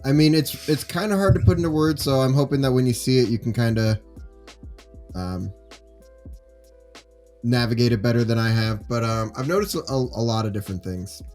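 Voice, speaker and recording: A man playing a concerned high school teacher who explains things hesitantly in improvised audio drama. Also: moderate pace, pauses often, a little mellow, soft background music, natural voice.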